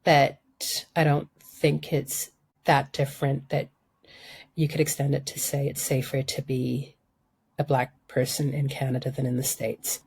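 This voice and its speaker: Husky-voiced thoughtful woman guest. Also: extremely low pitch, flat delivery, a little mellow. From Sudan. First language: Arabic. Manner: thoughtful, measured, reframes questions. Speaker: guest, environmental journalist. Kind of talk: conversational interview.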